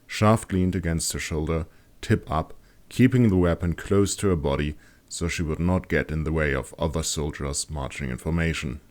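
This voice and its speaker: A man's voice: very deep voice, moderate pace. A man reading a scripted fantasy story as an audiobook narrator. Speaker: author narrating own audiobook.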